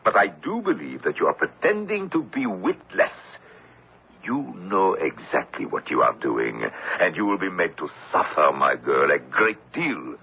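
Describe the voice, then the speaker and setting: An actor playing a menacing, mock-courteous interrogator in a radio drama, with silky sarcasm and deliberate threats. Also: male voice, deep voice, huge pitch swings, creaky, a little hiss or hum, full character voice. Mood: stern, threatening. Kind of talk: old-time radio drama acting. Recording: dull, lo-fi old radio recording.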